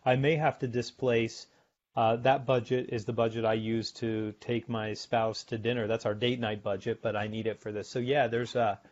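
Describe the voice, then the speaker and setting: A man's voice: medium pitch, little pitch movement, normal speed, muffled recording. A man speaking as a guest expert in a podcast interview, explaining things conversationally in short, emphatic, instructive phrases.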